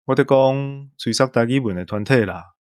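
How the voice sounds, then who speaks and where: A man's voice: mid-range voice, normal speed, a little mellow, clear, resonant tone, dry recording, studio quality. A man in his forties with a Taiwanese accent, co-hosting in scripted casual banter. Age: 40s. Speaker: co-host.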